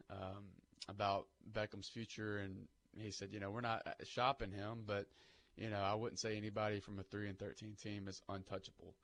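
A man's voice: lowish voice, vocal fry, a bit bright. A man on sports talk radio, reeling off statistics and weighing up a trade with a few fillers. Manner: rattles off stats, many fillers.

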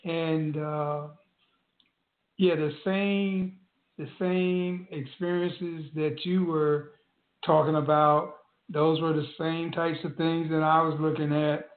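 A man with a slightly high voice speaks slowly and thoughtfully as a talk show co-host. The audio is thin and phone-like, with a roomy echo around him. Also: flat delivery. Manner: slow, reflective, short agreeing replies. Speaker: podcast co-host. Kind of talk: casual chat. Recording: tinny phone-like line in an echoey room.

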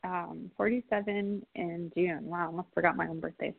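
A woman in her forties, a psychic medium guest giving live call-in readings in a chatty way, often pausing on fillers. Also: on the low side, fairly even intonation, gravelly, a little hiss or hum. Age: 40s. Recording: tinny phone line.

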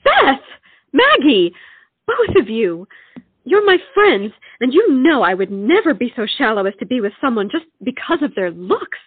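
A woman acting an earnest young sweetheart in a stage comedy, insistent and romantic. Her high, very animated voice comes through thin, phone-like sound. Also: moderate pace, husky, no echo, exaggerated voice. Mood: indignant, proud. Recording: tinny, narrow-band sound.

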